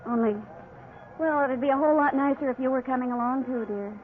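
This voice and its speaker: A woman's voice: high voice, quick pace, lightly acted. Casting: female actor for middle-aged American wife, doting and fussy, fifties radio drama, narrow vintage sound. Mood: fond, fussing. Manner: affectionate, mildly nagging. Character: fond, fussing middle-aged wife, gently teasing. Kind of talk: 1950s radio drama acting. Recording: band-limited 1950s radio recording with hiss.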